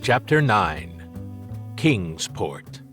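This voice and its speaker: Slow, low-voiced male audiobook narrator. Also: big pitch swings, a bit bright, soft background music. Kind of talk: scripted fiction narration.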